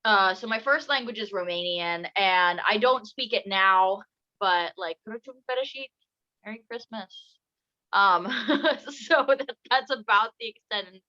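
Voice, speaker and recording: Silly young host, bright sharp voice, kinda muffled lo-fi recording. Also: female voice, medium pitch, unhurried. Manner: goofy, silly sing-song asides. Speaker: podcast host. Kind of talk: playful casual interview.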